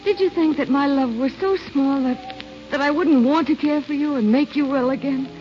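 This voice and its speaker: An actress playing a passionate, devoted young niece in love, earnest and pleading, in an old radio drama. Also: female voice, sits high, moderate pace, soft background music, natural voice. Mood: tender, pleading. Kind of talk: 1940s radio drama acting. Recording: muffled, crackly old radio broadcast.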